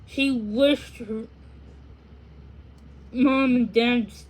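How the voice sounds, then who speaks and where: Woman narrator, children's read-aloud, high pitch, slow, halting, repeats words. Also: fairly even intonation. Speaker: story narrator.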